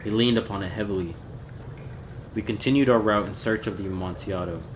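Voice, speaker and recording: A man reading a gothic horror story aloud in a measured, theatrical way. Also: lowish voice, slight background noise. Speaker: audiobook reader.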